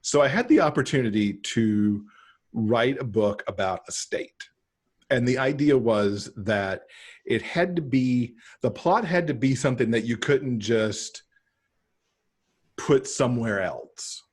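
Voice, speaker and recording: A man's voice: mid-range voice, animated, a little slow, slightly rough. A middle-aged American man from Michigan chatting casually as a guest author on a video call. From Michigan, United States. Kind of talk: casual interview chat. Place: indoor room, video call.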